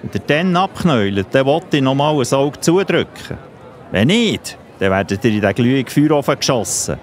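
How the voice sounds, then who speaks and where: A man's voice: mid-range voice, very animated, moderate pace, some chatter behind, lightly acted. An actor playing an imperious, hot-tempered king in a children's audio play, with a Swiss accent and a theatrical, commanding manner. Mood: indignant, commanding.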